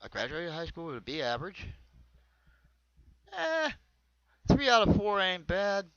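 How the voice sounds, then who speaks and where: A dull, lo-fi recording with very little room sound carries an American man's slightly high voice. He delivers a personal comedy routine as loose, conversational storytelling, wandering from one thought to the next. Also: wildly swooping pitch, measured pace, a bit bright, dry recording. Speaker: amateur comedian. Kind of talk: autobiographical comedy monologue. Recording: dull, lo-fi close dry recording.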